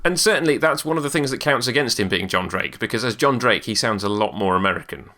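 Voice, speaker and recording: A man's voice: mid-range voice, expressive, fast, pushed and bright. A man co-hosting a podcast in casual, hesitant chat. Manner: hesitant, lots of ums and uhs. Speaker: podcast co-host.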